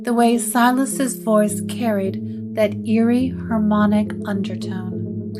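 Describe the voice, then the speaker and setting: A woman's voice: mid-range voice, fairly even intonation, takes it slowly. A woman narrator reading a scripted gothic story. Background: guitar music.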